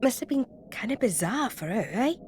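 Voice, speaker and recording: A young female companion from a video game. The voice is fairly high. She talks in a brisk, casual, bluntly teasing way. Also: very animated, darkish tone, a little hiss or hum. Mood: awkward concern. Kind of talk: video game cutscene acting.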